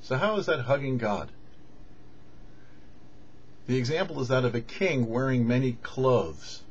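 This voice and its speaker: A man, a rabbi, giving scripted religious teaching with deliberate, emphatic phrasing and pauses. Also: medium pitch, animated, husky, dull audio. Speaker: rabbi, host of a weekly Torah show.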